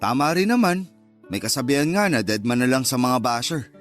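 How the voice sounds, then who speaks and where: A man's voice: mid-range voice, very animated, fairly quick, faint music behind, close to an everyday voice. A man playing the wistful, sulky lover of a married woman in a Tagalog radio love drama, casual and understated. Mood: pensive.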